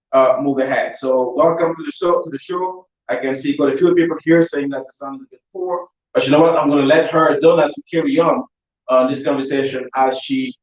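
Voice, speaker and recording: A man's voice: a little high, lo-fi sound. Man hosting a show, echoey and distant. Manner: halting, repetitive delivery. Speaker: talk show host. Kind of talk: live online interview hosting. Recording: roomy echo, distant mic, poor sound.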